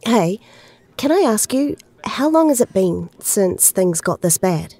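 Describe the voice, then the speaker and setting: A woman's voice: mid-range voice, very animated, unhurried, mellow, no room sound. A woman acting in an audio drama as an earnest, homesick young woman stranded among rebels, a little hesitant in her speech.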